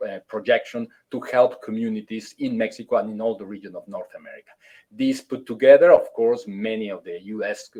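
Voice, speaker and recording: A man, a consulate official, giving formal opening remarks at a webinar over a video call, halting and full of fillers. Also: on the low side, warm tone, husky. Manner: halting, many fillers and restarts, formal phrasing.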